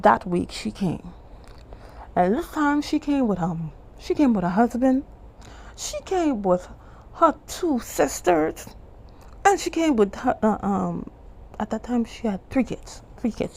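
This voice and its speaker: A woman from the Bahamas with a Bahamian accent, telling a church testimony in casual, animated talk and jumping high when she quotes people. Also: vocal fry. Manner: animated, acts out quoted lines in a much higher voice; restarts and trails off often. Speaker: testimony vlogger.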